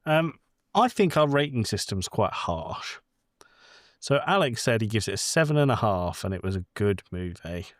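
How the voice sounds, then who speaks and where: Low-voiced man chatting casually. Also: very animated, moderate pace, dry recording, clean studio sound. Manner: informal, sweary, rambling explanations. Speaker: film podcast co-host. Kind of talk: casual film chat.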